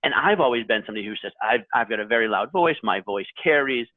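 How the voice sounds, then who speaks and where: A man's voice: mid-range voice, expressive, fast, no room sound. A man, a guest on a podcast panel, explaining his thinking in a reflective, earnest way with some hesitations. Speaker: panel guest, article author. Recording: tinny phone-like line.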